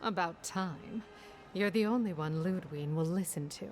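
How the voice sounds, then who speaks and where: A woman's voice: lowish voice, unhurried, a little mellow, slight background noise, natural voice. An actress playing a guarded, world-weary woman offering help in a fantasy game cutscene. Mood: guarded, businesslike. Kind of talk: dramatic video game cutscene acting. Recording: music clearly behind the voice.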